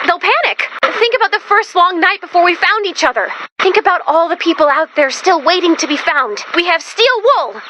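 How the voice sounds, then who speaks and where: A woman voicing an excitable, brainy and neurotic robot companion in a video game, very expressive and quick to get exasperated. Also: high voice, noisy background. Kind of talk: animated video game voice acting.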